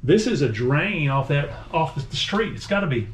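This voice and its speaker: Man, lively, jokey vlogger in a cave, faint background noise. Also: a little high, normal speed. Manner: playful, whoops and jokes. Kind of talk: casual outdoor chat. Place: small cave under a river island.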